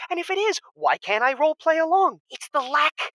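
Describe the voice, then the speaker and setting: A man's voice: very high-pitched, very animated, fairly quick, bright, forceful tone, dry recording, clean studio sound, heavily acted. A man playing an anxious, suspicious husband calling a radio advice show, stammering and agitated in a comedy audio drama. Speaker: caller.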